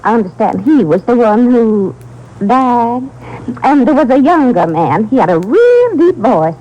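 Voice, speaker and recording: High-pitched, fussy older lady gossip. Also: very animated, measured pace, dull audio. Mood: fussy, rambling. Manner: rambling, fretful, scandalized. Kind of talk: 1950s radio drama acting. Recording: vintage radio drama recording.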